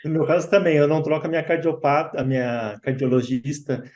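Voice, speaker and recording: A man, hosting a podcast and putting long, measured questions to his guests. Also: a little high, little pitch movement, a little mellow. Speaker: podcast host. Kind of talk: interview questions and comments.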